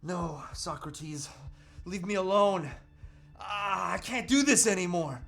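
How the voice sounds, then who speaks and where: A man's voice: high voice, lively intonation, slow, creaky, fairly bright tone, faint music behind, exaggerated voice. A man playing a tormented, guilt-ridden husband, earnest and melodramatic, in an amateur table read. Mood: anguished. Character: tormented, guilt-ridden husband warning against a pickup artist.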